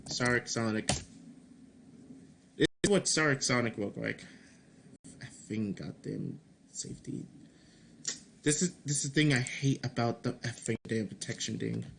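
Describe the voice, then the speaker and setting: A man with a medium-pitched voice talks through his game in a casual devlog. His delivery is slow and halting, often restarting phrases and repeating words. He drops in mild swearing for emphasis. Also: gravelly, fairly bright tone, slight background noise. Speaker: game developer making a devlog. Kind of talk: casual screen-recorded commentary, reading and answering feedback.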